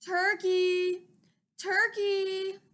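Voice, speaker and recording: A woman's voice: very high-pitched, completely flat delivery, drawn-out words, fairly bright tone, pitched above the performer's own voice, heavily acted. A woman voicing a kindly, eager male moose from a children's storybook, in an earnest, hearty and emphatic manner. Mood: calling eagerly.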